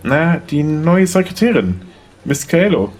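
A man's voice: on the high side, very animated, a little slow, a little mellow. A man playing a polite, slightly unsure and charming young lawyer in an audio drama. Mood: preoccupied, puzzled. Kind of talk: German audio drama dialogue.

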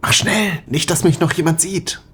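An actor playing an affectionate, mischievous young man in a naturalistic, conversational audio drama style with a husky voice. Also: a little high, normal speed, fairly bright tone. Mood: furtive, playful.

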